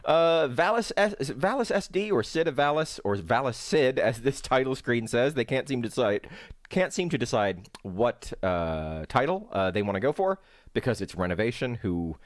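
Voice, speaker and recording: A man giving casual, rambling game commentary as a streamer, halting and hesitant, with dry, wry remarks. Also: medium pitch, big pitch swings. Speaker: speedrunner and streamer, host. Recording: roomy echo, faint game music behind.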